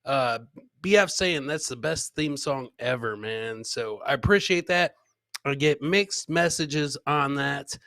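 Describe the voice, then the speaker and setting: A man's voice: mid-range voice, slow pace, fairly bright tone, no echo. A man in his forties hosting a live comedy clip show in a casual, jocular, profane way, repeating his phrases, close on a podcast mic. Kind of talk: casual livestream chat. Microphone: close podcast mic on a boom arm, headset.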